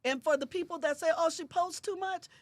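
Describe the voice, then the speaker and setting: A woman in her fifties with a high voice talks in a podcast studio, giving strong, motivational points. She repeats her key phrases for emphasis, like a preacher. The sound is close and dry. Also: fairly quick, no echo. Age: 50s. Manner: emphatic, preacher-like repetition of affirmations. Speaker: guest, author. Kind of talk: motivational conversation.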